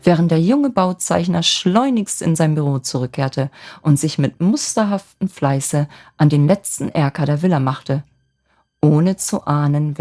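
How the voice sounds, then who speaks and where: A woman with a soft, gentle voice narrating a fairy tale as an expressive bedtime story. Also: sits low.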